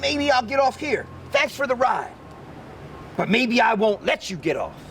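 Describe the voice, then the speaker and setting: Man reading as a nervous, high-pitched storybook kid. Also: sing-song, unhurried, fairly bright tone, slight background noise, heavily acted. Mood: wary. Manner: hesitant, pleading. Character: nervous young kid riding a tiger, pleading. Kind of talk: picture-book read-aloud.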